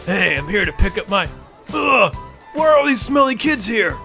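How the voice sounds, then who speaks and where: A man voicing a rude, impatient male customer who snaps and yells complaints in a comedy ad sketch. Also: high voice, sing-song, normal speed, creaky, faint music behind, voice pushed up, full character voice. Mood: irritated. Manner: snappish, yelling complaints.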